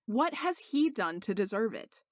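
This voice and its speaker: A woman's voice: medium pitch, sing-song, normal speed, dry recording, studio quality, natural voice. A woman voicing an indignant young male servant who is secretly a sorcerer, speaking earnestly and insistently in a story reading.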